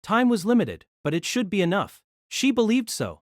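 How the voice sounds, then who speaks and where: A narrator reading a novel aloud in an expressive storytelling manner. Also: medium pitch, moderate pace, dry recording, studio quality.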